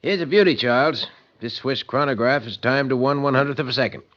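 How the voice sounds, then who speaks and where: Guy doing a polite store salesman in old time radio, regular pitch, dull lo-fi recording. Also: quick pace, fairly bright tone, lightly acted. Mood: helpful. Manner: polite, helpful salesman patter.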